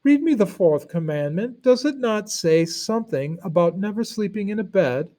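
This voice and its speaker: A man's voice: high voice, expressive, normal speed, dark tone, voice pushed up. Man reading as a worried, questioning farm mare. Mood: puzzled. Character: worried female farm horse, puzzled and questioning.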